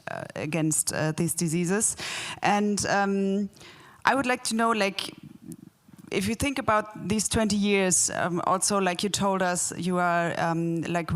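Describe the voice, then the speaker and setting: Hesitant woman moderating a panel. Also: lowish voice. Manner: hesitant, lots of ums and likes. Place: conference panel.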